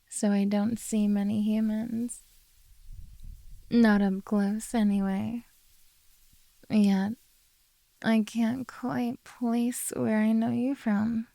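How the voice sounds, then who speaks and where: A woman playing a possessive, coaxing beastkin monster girl in a soft, teasing ASMR roleplay. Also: medium pitch, vocal fry, no echo, rough recording.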